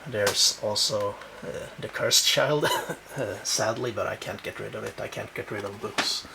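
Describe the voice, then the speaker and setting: Slow, gravelly Swedish-accented man. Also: on the low side, heavy background noise. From Sweden. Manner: halting, with many fillers and self-corrections. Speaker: book collector showing his shelves. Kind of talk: casual home video walkthrough. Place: home room.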